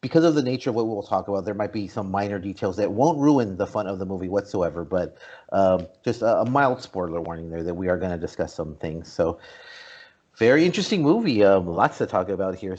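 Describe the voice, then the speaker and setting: A man with a fairly low voice hosts a casual movie discussion podcast. He talks in a conversational way. The recording is a bit dull and lo-fi. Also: lively intonation, brisk, darkish tone. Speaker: podcast host. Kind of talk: casual film review discussion.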